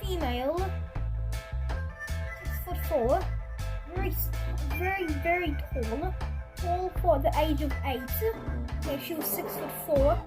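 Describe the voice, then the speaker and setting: A high-pitched young storyteller rambles indignantly in a casual storytime vlog. The delivery is conversational and breaks into acting out other people's lines, with music playing behind the voice. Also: slow, long pauses. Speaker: video storyteller.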